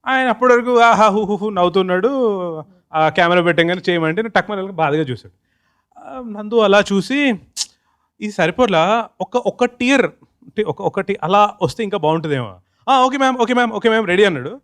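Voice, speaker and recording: A man's voice: sits high, animated, a little fast, a bit bright. A man with a Telugu accent telling anecdotes casually in a group interview, mixing in English phrases. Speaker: film team member being interviewed.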